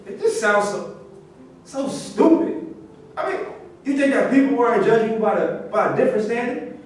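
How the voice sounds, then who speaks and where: A man's voice: extremely high pitch, lively intonation, normal speed. A man in a staged reading, playing a condescending, scornful skeptic. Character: condescending, scornful male skeptic who needles rivals. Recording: very echoey room, distant mic, faint noise.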